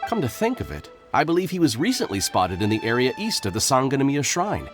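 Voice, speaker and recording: An actor voicing a polite, dutiful guard in charge of the watch in a video game, formal and helpful. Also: male voice, mid-range voice, huge pitch swings, quick pace. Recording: plucked-string music behind the voice.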